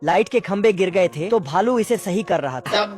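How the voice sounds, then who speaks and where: A man's voice: sits high, animated, normal speed. A man delivering scripted comic narration of cartoon stories, with exaggerated storytelling and slangy humour. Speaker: narrator of funny cartoon recaps. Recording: voice-over with music underneath. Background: background music.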